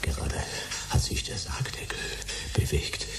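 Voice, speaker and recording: A man's voice: measured pace, in a whisper, loud music behind the voice, natural voice. A man playing a terse, dry, stubborn private detective in a German sci-fi radio play. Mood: uneasy, hushed.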